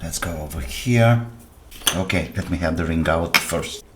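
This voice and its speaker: A man who speaks English as a second language, giving casual hands-on repair commentary as a channel host, haltingly thinking aloud while he works. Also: sits low, noisy background. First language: non-native English speaker.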